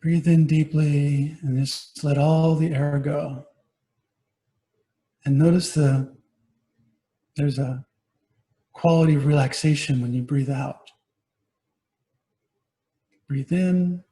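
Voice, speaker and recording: A man guiding a meditation as a teacher, giving gentle, unhurried instructions with pauses between phrases. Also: fairly high pitch, steady tone, low-quality recording. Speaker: meditation teacher, Buddhist monastic. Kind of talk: guided body scan meditation.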